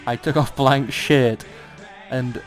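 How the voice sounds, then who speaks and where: Man, mid-pitched voice, casual banter, mock-dramatic reading, teasing, sweary, music behind. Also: fairly even intonation, slow. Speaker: co-host reading fan fiction aloud.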